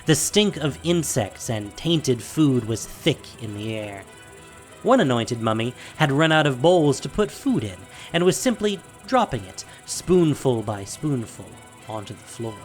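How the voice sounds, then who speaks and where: A male narrator reads a scripted fantasy story dramatically. His medium-pitched voice moves with animated intonation. Faint music plays beneath the narration. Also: measured pace.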